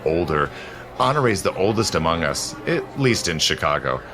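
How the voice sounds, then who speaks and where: Smooth playful old-world gent in audio drama, really low voice, very lively delivery. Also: male voice, moderate pace. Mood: confiding, playful. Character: suave, teasing immortal gentleman protector, secretly seventy-two. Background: faint music.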